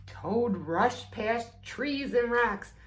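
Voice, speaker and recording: A woman reading a story aloud to young children in an unhurried, expressive way, with casual questioning asides. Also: a little high. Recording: roomy echo.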